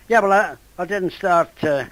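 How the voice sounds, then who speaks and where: A man's voice: high voice, fairly bright tone, rough recording. An older British man, in his seventies or beyond, chatting casually as an amateur radio operator. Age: 70s or older. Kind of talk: casual ham radio conversation.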